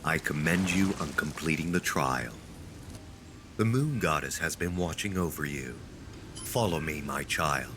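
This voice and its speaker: An actor playing a solemn mystical guardian of sacred grounds in a video game, speaking gravely and ceremoniously. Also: male voice, extremely low pitch, lively intonation, unhurried, music in the background. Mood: solemn, benevolent. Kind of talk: dramatic video game acting.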